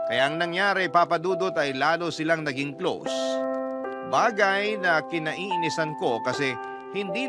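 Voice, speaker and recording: A man with a fairly high voice tells a story in dramatic radio narration. He reads a listener's letter over prominent music. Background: prominent background music.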